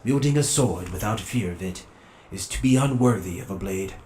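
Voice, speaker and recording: A man playing a grave, philosophical warrior captain in an anime fan dub, speaking in a measured, formal manner. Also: lowish voice, expressive, mellow, a little hiss or hum, natural voice. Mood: stern, reproachful.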